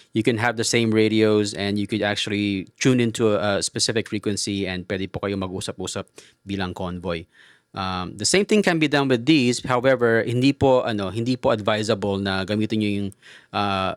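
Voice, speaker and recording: A middle-aged Filipino man giving a casual explanatory product talk, speaking politely and haltingly and slipping between English and Tagalog. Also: fairly low pitch, no room sound, clean studio sound. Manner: hesitant, polite, switches between two languages mid-sentence. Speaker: presenter explaining radio gear.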